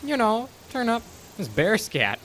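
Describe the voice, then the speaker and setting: A man's voice: high voice, huge pitch swings, a little slow, fairly bright tone, slight background noise, heavily acted. A man acting a cocky, dismissive, joking repairman in an audio horror drama.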